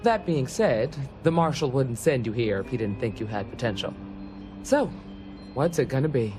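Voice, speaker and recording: A woman's voice: very deep voice, animated, normal speed. A woman playing an earnest, folksy female ranger recruiter in a video game. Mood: serious, measured. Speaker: recruiting officer. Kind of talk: video game character dialogue. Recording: game audio with loud music bed. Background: music.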